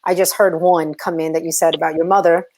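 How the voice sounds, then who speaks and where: A woman with a Brooklyn accent hosts a casual interview. Her voice sits a little low for a woman. She asks long, encouraging questions that wander as she thinks. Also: flat delivery, fairly quick, a little mellow. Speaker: host.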